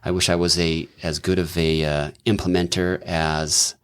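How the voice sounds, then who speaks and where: Deep-voiced man, thoughtful and rambling. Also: flat delivery, normal speed, clear, resonant tone. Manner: thoughtful, rambling, many fillers and restarts. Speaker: doctor and businessman, podcast guest. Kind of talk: casual conversational interview.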